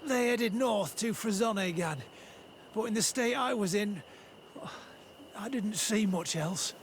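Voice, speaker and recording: A man's voice: high-pitched, unhurried, a little hiss or hum. A man playing a wounded, shaken soldier in a video game, strained and pleading. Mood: weak, shaken. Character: wounded male soldier, frightened survivor of a massacre.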